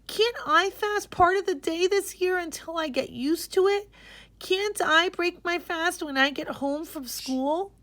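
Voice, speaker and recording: A woman's voice: very high voice, a bit bright, dry recording, voice pushed up. A woman voicing an eager, pleading twelve-year-old girl in a storybook, drawing out her words. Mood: tearful pleading. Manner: pleading, drawn-out.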